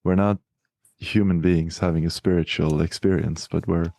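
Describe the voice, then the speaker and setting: A man from Sweden, a podcast co-host who affirms readily, laughs often, and tells short stories in a relaxed chat. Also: sits low, moderate pace, creaky, dry recording, clean studio sound. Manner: affirming, laughs easily, storytelling. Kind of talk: casual spiritual discussion.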